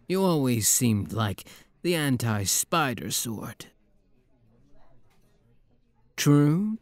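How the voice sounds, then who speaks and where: A man's voice: mid-range voice, a little mellow, no echo. A man playing a playful, flirty demon prince with a teasing, theatrical manner in an intimate ASMR roleplay.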